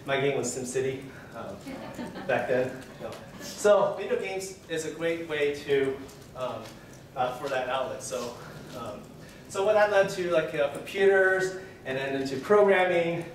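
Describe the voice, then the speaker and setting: A man's voice: on the high side, heavy reverb, slight background noise. A young man from Taiwan giving a joking, self-deprecating conference talk with lots of fillers, recorded from far back in a meeting room. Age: young adult. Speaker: conference presenter. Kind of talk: humorous presentation talk. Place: conference room with projector screen. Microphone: far camera.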